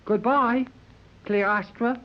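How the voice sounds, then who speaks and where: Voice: medium pitch, fairly even intonation, extremely slow. A performer playing a plucky, impatient American boy with brash, folksy slang, in 1930s radio serial style. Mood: glum defiance. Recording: dull old 1930s radio recording.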